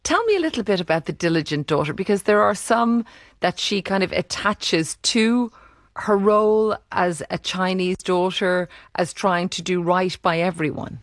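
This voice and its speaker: Quick-talking radio interviewer. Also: medium pitch, fairly bright tone, dry recording, clean studio sound. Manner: quick, conversational. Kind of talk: interview questions.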